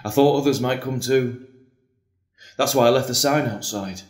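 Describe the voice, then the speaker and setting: A man's voice: mid-range voice, normal speed, lightly acted. Man acting an earnest priest, echoey. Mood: solemn, patient. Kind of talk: audio drama acting. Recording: echoey room.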